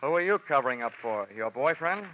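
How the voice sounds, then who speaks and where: An actor playing a wisecracking, cynical insurance investigator in a mid-century American radio detective drama. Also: male voice, mid-range voice, animated, brisk, slight background noise, lightly acted. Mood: coolly needling. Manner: wry, cynical, slangy. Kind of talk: 1940s-50s radio detective acting. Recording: dull vintage radio recording, music behind.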